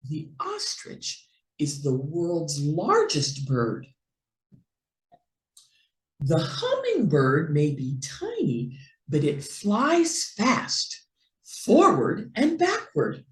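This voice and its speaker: Lady reading a kids book out loud, super sing-song and expressive, takes her time, echoey room. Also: fairly low pitch. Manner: expressive, deliberate, with playful animal sounds. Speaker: children's book reader and online teacher. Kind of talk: slow animated read-aloud for young children.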